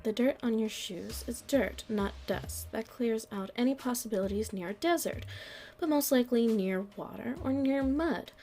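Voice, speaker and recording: Casting: actress as shrewd, proud mob headliner, female, higher voice, haughty and taunting, ASMR roleplay drama, music underneath. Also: normal speed, dark tone, lightly acted. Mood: coolly analytical. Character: shrewd proud nightclub headliner and businesswoman in the mafia.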